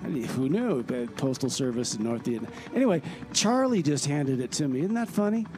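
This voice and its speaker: A man playing a time-travelling radio regular who chats offhandedly with deadpan asides. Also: mid-range voice, brisk, natural voice. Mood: breezy. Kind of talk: comedy radio theater. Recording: sketch with music underneath.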